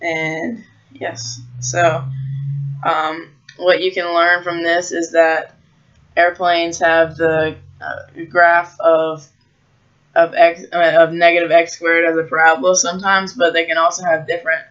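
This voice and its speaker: An American teenage high school student giving an explanatory school presentation. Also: completely flat delivery, unhurried, a bit bright, highs cut off.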